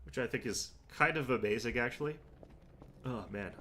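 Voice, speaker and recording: A man's voice: mid-range voice, normal speed, bright, forceful tone, a little hiss or hum. A man with a stuffed-up nose, casually talking to himself while playing a game as a streamer. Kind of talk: casual gameplay commentary.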